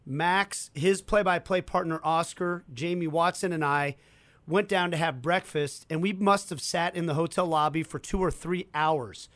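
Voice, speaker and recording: A man's voice: on the high side, normal speed, fairly bright tone, no echo. A man from Kansas City, a co-host and play-by-play announcer, telling a story in fluent, conversational chat. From Kansas City, United States. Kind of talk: casual chat.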